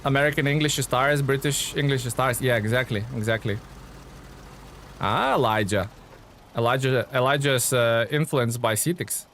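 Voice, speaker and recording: A man chats easily with his live-stream viewers, his voice sitting at an ordinary middle pitch. He rambles a little, stopping and restarting with plenty of "uh"s. A faint hiss sits under the recording. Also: moderate pace. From Lithuania. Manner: relaxed, chatty, many fillers and restarts. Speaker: game streamer. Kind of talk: casual live-stream chat reading viewer comments.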